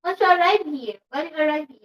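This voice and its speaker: A woman's voice: sits high, quick pace, rough recording. A young woman with a Filipino accent, chatting casually and playfully on a vlog, laughing often and slipping into Tagalog. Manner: giggly, playful, mixes English with Tagalog. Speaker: vlogger. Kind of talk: casual chat.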